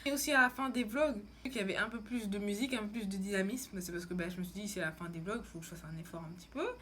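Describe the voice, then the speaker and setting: A woman's voice: mid-range voice, fast talker, bright, forceful tone, slight background noise. A young woman from France chatting casually as a vlogger, with an informal, slangy, rambling way of talking. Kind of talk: casual chat to camera.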